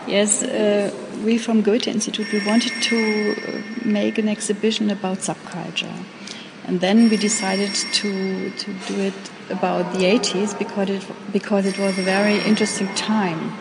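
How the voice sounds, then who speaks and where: A woman's voice: medium pitch, little pitch movement, moderate pace, creaky, noisy background. A woman with a German accent, a native German speaker, giving informal interview answers as a curator and searching for her English words. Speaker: exhibition curator being interviewed. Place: indoors in a gallery exhibition. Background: exhibition film soundtrack music.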